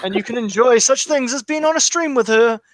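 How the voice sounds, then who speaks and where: A man's voice: very high voice, a little fast. A man joining a stream chat, joking in mock-sarcastic tones. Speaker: stream guest. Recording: noisy mic with clicks.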